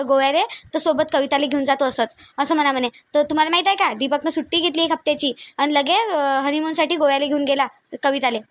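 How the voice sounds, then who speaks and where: A woman's voice: high voice, a bit bright, no room sound. A woman playing a stern, bossy mother-in-law in a family drama, scolding insistently in a Varhadi Marathi accent. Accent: Varhadi Marathi of Vidarbha. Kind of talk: amateur family comedy-drama dialogue.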